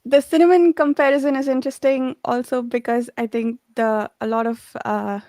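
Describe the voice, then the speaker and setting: Higher-pitched woman with even intonation, thinking aloud. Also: normal speed, no room sound. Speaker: book discussion participant. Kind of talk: casual book discussion.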